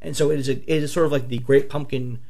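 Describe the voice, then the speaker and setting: A man on a podcast, hoarse from a flu, talking excitedly and swearing often as he raves about movies. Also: medium pitch, fast. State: a few bourbons in. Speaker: podcast host. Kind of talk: casual movie talk.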